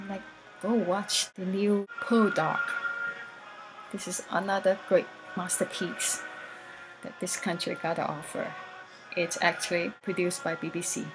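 A woman's voice: on the low side, poor audio. A middle-aged woman, Chinese her first language, talking loosely and bluntly to a webcam in a small room at home. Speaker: vlogger. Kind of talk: casual talk to camera. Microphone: built-in webcam mic at close range.

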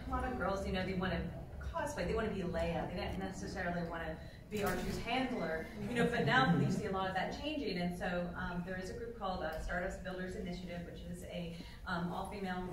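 A woman's voice: on the low side, fairly quick, noisy background. A woman droid builder speaking on a convention panel, explaining in detail with lots of fillers. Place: large convention hall panel room. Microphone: table mic recorded by a far camera in the audience. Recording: echoey hall from far off.

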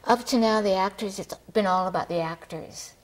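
A middle-aged woman chatting casually as an interview guest, talking in a halting way and circling back on her thoughts. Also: medium pitch, poor audio. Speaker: theater director, talk show guest. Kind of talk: casual studio interview chat. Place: TV studio, seated at a table.

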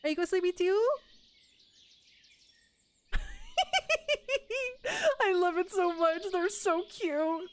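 A young woman casually commentating her Minecraft let's play, excitable and gushing over cute animals, a little hoarse. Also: extremely high pitch, takes it slowly, long pauses, a little hiss or hum. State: slightly hoarse. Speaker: gaming YouTuber.

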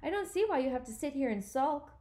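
A woman's voice: sits high, lively intonation, a little fast, warm tone, pitched above the performer's own voice. A woman voicing a tiny, practical young lady in a storybook, speaking in a polite, matter-of-fact way. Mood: mildly impatient.

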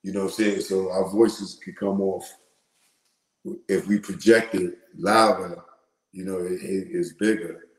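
A middle-aged man with a big, lowish voice talks loosely and animatedly, full of slang, as he hosts his live commentary show. He speaks into an earphone mic, and the small room around him rings with echo. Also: steady tone, a little slow. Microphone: wired earphone mic. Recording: echoey room.

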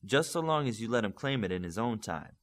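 A man voicing a confident, reassuring male superhero mentor in an audiobook, giving measured advice. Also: lowish voice, animated, a bit bright.

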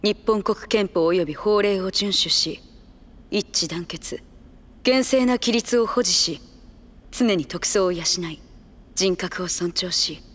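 A woman's voice: mid-range voice, takes it slowly, a bit bright. An actress voicing an earnest young male officer, formal and fervent, in a reverberant space. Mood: solemn.

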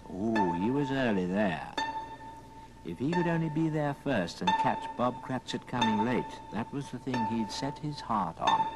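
Male storyteller for vintage radio drama. Theatrical, highly animated delivery over music. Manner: theatrical, expressive. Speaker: narrator. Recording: old radio drama record.

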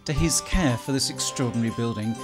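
A man giving measured, scripted documentary narration as a voice-over. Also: medium pitch, music in the background. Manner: measured and formal.

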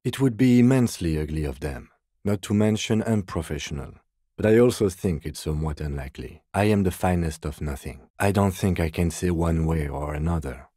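A by-the-book male police detective in a video game. The voice sits low and is slightly husky. He speaks formally and with restraint, deadpan and measured, in a very dry recording. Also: no echo. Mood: matter-of-fact. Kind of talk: scripted game dialogue.

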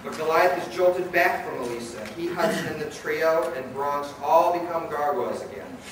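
A man's voice: a little high, normal speed. A man reading dramatic scripted narration as the narrator of a live radio play. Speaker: narrator and director. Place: event hall before an audience. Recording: very echoey, distant, noisy live hall.

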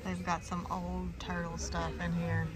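A middle-aged woman filming a casual family vlog, chatty, teasing and quick to laugh. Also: lowish voice, monotone. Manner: giggly, teasing, exclaims a lot. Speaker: vlogger. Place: indoors at home and a flea market.

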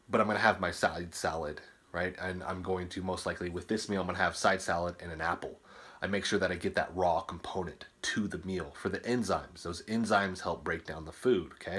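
A man in his thirties with a low, husky voice talks casually through a quick meal as a personal trainer. He films himself on a phone at arm's length in a small home kitchen. He explains as he goes and keeps checking in with "right?" and "okay?". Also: fairly bright tone. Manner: chatty, explanatory, tags lines with "right?" and "okay?". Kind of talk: casual cooking and nutrition vlog. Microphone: phone camera held at arm's length.

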